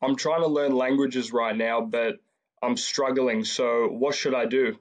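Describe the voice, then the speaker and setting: A man's voice: medium pitch, unhurried. Male interviewer, medium voice, laid-back and slangy. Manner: relaxed, slangy, says "bro". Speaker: podcast host. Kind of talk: casual interview questions.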